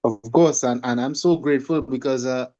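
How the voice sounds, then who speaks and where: A man with a Trinidadian accent speaks conversationally and reflectively as an interview guest, often pausing and repeating words. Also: medium pitch, steady tone, mellow, muffled recording. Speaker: guest, singer and holistic coach.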